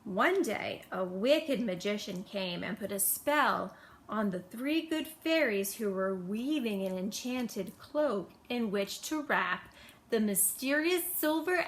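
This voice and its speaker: A woman reading a bedtime story aloud to children in an expressive storytelling style. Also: on the high side, low-quality recording.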